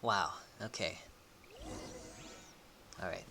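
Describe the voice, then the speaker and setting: A young man giving casual, halting, slangy commentary as he streams a game. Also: low voice, noisy background. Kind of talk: casual live play-along commentary.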